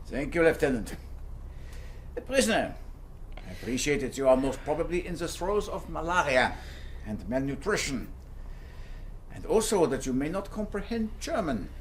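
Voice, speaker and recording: An actor playing a coldly polite German naval captain who interrogates a prisoner in a radio drama. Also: male voice, on the high side, big pitch swings, moderate pace, long pauses, slight background noise. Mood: stern. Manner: clipped, formal, coldly polite. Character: stern, formal German naval captain presiding.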